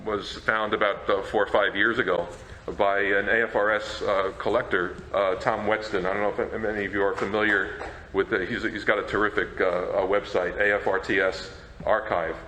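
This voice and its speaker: A man giving an informal talk as a presenter, in a halting, stammering manner. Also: on the low side, little pitch movement, pushed and bright. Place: presentation room at a convention. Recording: echoey room, faint noise.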